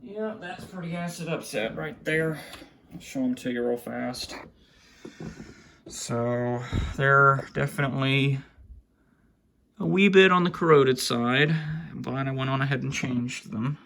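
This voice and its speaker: A man with a gravelly, creaky voice giving casual unscripted commentary, muttering to himself while he works with his hands. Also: medium pitch, measured pace, pauses often, noisy background. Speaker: hobbyist vlogger showing a radio collection. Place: small indoor room at a cluttered desk.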